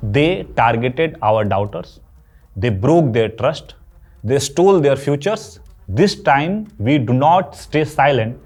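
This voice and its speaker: High-pitched, animated Indian man explaining. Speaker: video essay host. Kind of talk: scripted explainer commentary. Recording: music behind the voice. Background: background music.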